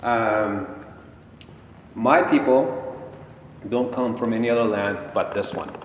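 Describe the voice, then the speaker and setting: Deliberate man speaking in an echoey hall. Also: fairly low pitch. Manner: slow, deliberate, conversational. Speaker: guest speaker at a gala. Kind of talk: informal lecture, questioning the audience. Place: large hall. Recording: echoey hall from far off, faint noise.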